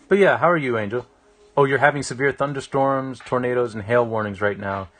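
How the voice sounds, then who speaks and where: A man, chatting casually with livestream viewers in a reflective, halting way as he thinks aloud. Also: mid-range voice, a bit bright. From Canada. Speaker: live-streaming painter. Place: small indoor room with a painting on the wall. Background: music.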